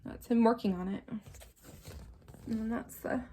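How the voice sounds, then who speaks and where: A woman reading a children's story aloud in an expressive, dramatic manner, with simple asides for young listeners. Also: on the high side, unhurried, a little hiss or hum. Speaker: storybook reader.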